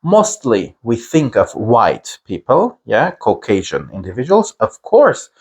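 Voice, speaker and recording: Slow-speaking Armenian-accented man teaching. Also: medium pitch, huge pitch swings, darkish tone, slightly rough. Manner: slow and deliberate, with many filler pauses. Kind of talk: explanatory lesson to camera.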